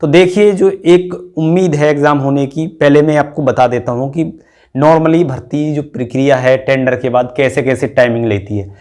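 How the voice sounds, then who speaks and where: A man's voice: fairly high pitch, fairly even intonation, moderate pace, a little mellow. A man giving an informal, repetitive step-by-step explanation as a teacher to students, often adding a short check for understanding. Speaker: exam-prep teacher and channel host. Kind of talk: informal explainer addressed to exam candidates.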